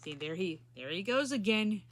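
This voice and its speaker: A woman's voice: mid-range voice, animated, normal speed, slight background noise. Woman reading a children's story aloud. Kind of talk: children's storybook read-aloud with simple asides.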